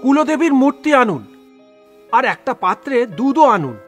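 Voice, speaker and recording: Very high-voiced male priest acted by a man. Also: big pitch swings, measured pace, soft background music, full character voice. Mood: formal.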